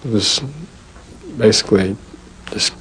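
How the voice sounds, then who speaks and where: A man in his twenties giving courtroom testimony in halting, broken phrases. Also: fairly low pitch, steady tone, crowd noise, poor audio. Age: 20s.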